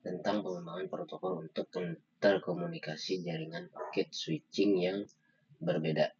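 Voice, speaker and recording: A young man giving a halting student slide presentation, pausing often on fillers. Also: low-pitched, fairly even intonation, a little mellow. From Indonesia. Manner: halting, with frequent filler pauses. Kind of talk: slide presentation of an article review. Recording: dull lo-fi microphone sound.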